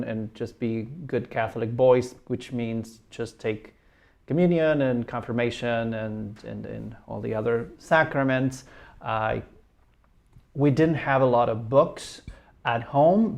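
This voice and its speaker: Guy, medium pitch, casually telling his own story, careful and a bit hesitant. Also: creaky, a little mellow. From Argentina. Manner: hesitant, measured phrasing. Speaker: lecturer answering audience questions.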